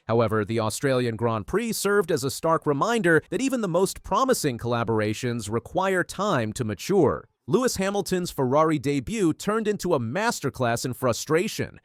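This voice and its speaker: A man's voice: on the high side, dry recording, clean studio sound. A man reading scripted motorsport news narration in a dramatic, polished delivery.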